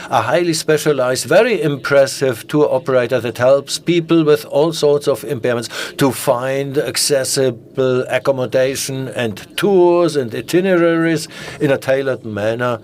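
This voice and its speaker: A man's voice: mid-range voice, a little mellow. A man moderating a conference, introducing the next speaker in a hesitant way with many filler sounds. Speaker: event moderator.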